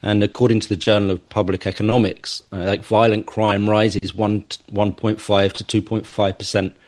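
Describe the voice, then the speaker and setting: Male interview guest, lowish voice, thoughtful, stops and restarts often. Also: level tone, normal speed, a little mellow. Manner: hesitant, thoughtful, with many fillers and restarts. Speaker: musician and competition judge.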